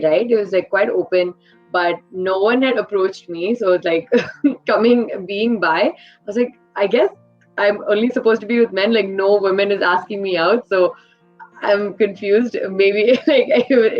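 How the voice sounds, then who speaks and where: A woman's voice: medium pitch, normal speed, soft background music. A young woman from India raised in Qatar, telling her story in a chatty, giggly way with many fillers. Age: young adult. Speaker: interview guest. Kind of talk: casual personal storytelling. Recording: dull lo-fi audio.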